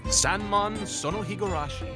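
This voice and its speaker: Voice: medium pitch, normal speed, loud music behind the voice. A person chatting casually and jokily while playing and voicing a video game with a friend. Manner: swears casually, jokey. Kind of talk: casual gaming chat.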